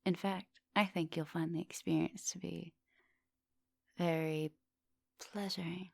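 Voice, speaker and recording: Low, gravelly, seductive vampire professor woman acting. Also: steady tone, a little slow, no room sound. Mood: tender, seductive. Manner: breathy murmurs and whispers, coaxing pet names. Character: seductive female vampire professor, hungry yet gentle and polite. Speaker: ASMR roleplay voice actor. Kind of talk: intimate ASMR roleplay acting. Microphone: close microphone.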